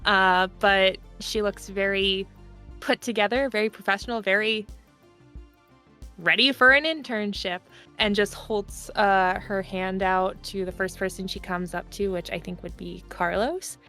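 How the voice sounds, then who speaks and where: A woman's voice: medium pitch, steady tone, measured pace, pushed and bright, faint music behind. A woman playing a tabletop game, casually improvising narration of her character's actions. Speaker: tabletop roleplaying player.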